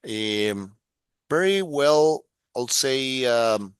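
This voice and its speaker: Halting Ecuadorian man, smooth dry voice. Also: mid-range voice, lively intonation, no echo. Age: middle-aged. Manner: halting, many restarts and fillers. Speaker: guest, security expert. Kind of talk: casual interview talk.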